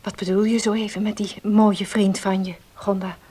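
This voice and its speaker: An actress playing a concerned, sincere young pastor's wife who asks probing questions in a radio play. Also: female voice, mid-range voice, flat delivery, moderate pace, mellow, slight background noise, close to an everyday voice. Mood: probing, worried. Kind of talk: Dutch radio play acting.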